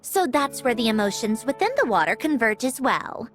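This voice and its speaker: A smug brainy game girl, kinda high and very expressive, talks down to you while explaining stuff. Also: normal speed. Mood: brisk, matter-of-fact. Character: self-assured, haughty young woman scientist, brisk and superior. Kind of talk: scripted video game character dialogue. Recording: game music behind the voice.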